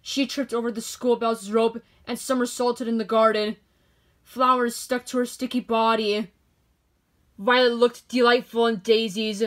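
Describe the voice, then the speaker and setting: A woman with a fairly high voice reads a children's story aloud. Her delivery is expressive, clearly shaped for storytelling. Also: measured pace. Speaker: storybook narrator. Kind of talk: children's read-aloud narration.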